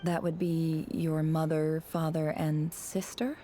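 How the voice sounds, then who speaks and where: A woman playing a calm, reserved, gentle leader in a video game, speaking softly and in measured phrases. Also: sits low, soft background music.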